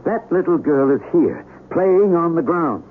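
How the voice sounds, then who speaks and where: A flustered earnest scientist in a 70s radio play, voice jumps around a lot, thin lofi sound. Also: male voice, a little high, lively intonation, husky. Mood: insistent. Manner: earnest, halting, urgent. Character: practical, agitated middle-aged male scientist, stranded motorist. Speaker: radio actor. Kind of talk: 1970s radio drama acting. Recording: tinny lo-fi radio recording, faint noise.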